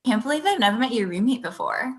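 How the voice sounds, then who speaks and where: Actress as wry, polite girlfriend, thirty, animated intonation, comedy script read. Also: a little high, fast talker. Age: young adult.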